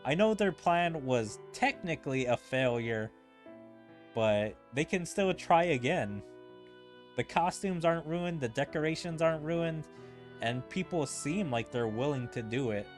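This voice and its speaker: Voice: medium pitch, lively intonation, measured pace, faint music behind. A streamer giving casual, rambling and hesitant commentary while playing through a video game story. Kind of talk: casual gameplay commentary mixed with reading dialogue aloud.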